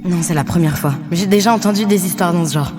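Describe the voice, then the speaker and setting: A woman's voice: on the low side, fast, over music, natural voice. A woman playing a friendly, curious stargazer from another world in a fiction podcast, easygoing, naively questioning and reassuring. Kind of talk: fiction podcast acting, informal dialogue.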